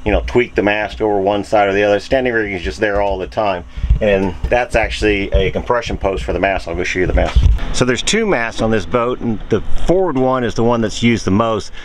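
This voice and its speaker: A man's voice: on the low side, a little fast, slight background noise, poor audio. A man in his fifties chatting casually as he shows around a sailboat, pointing things out on a handheld camera. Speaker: sailing vlogger. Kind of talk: casual show-and-tell vlog. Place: aboard a sailboat, cabin and deck. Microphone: handheld camera mic.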